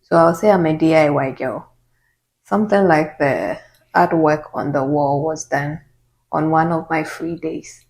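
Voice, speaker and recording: A woman with a Ghanaian accent and a notably low voice answers viewer questions to camera. She tells her stories calmly and steadily, in a relaxed vlog style. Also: a little slow, poor audio. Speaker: YouTuber. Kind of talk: casual get-to-know-me Q&A.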